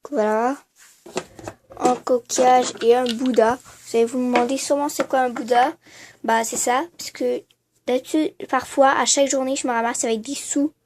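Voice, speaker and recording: A high, light female voice, a little stuffed up from a cold. She talks casually and hesitantly, piling on fillers as she walks through her room on a handheld camera. A faint hiss sits under the recording. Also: steady tone, normal speed. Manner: hesitant, many fillers and trailing voilà. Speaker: vlogger. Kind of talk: casual room-tour vlog. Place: bedroom at home.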